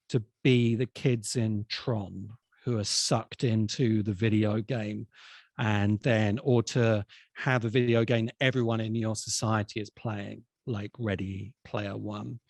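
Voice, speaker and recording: A man's voice: fairly low pitch, a little mellow, no room sound. Man explaining ideas in dry, close sound. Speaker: interviewed science fiction expert. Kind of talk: explanatory conversational discussion.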